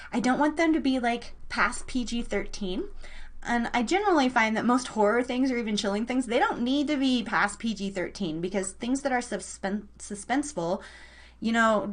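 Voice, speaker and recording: A woman with a fairly high voice hosts a live podcast. She talks in a casual, informal way, often repeating words for emphasis, as if chatting with regulars. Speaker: host of live horror story podcast. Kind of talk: casual live show chat.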